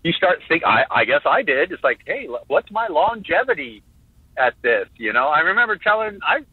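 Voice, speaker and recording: High, lively man in his fifties on a phone line. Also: breathy edge, dry recording. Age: 50s. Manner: animated, halting restarts, jokey. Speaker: guest drummer. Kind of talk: casual interview talk.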